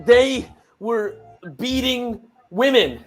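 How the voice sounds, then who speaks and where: Brief: male actor, coarse fan telling a brawl story, slow. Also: very high voice, faint music behind, exaggerated voice. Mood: grim. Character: rowdy male football fan protester. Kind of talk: narration.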